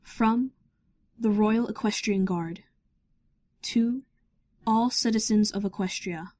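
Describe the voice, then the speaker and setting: A woman narrates a dramatic story. Her pitch is medium and her delivery is very slow and measured. The recording is dry, with no room sound. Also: a little mellow, dry recording.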